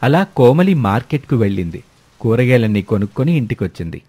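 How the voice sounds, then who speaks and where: A man's voice: mid-range voice, very animated, brisk, warm tone, slightly rough. A man narrating a scripted moral story as its storyteller.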